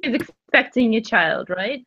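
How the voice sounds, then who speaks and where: A woman's voice: a little high, lively intonation, unhurried, noisy background. Woman hosting a club over a dull call. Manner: friendly, laughs often, fillers. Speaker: speaking club host. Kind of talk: casual chat, leading discussion. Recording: dry, dull lo-fi audio.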